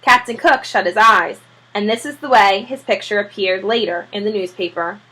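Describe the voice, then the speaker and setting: A woman reading a children's story aloud in an expressive, animated teacher's manner. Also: medium pitch, normal speed, husky, low-quality recording. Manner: expressive, acts out character voices.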